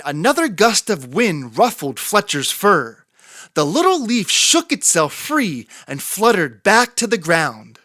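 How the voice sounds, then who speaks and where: A woman narrating a children's picture book in an expressive read-aloud style with dramatic emphasis. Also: mid-range voice, dry recording, studio quality. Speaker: children's story reader.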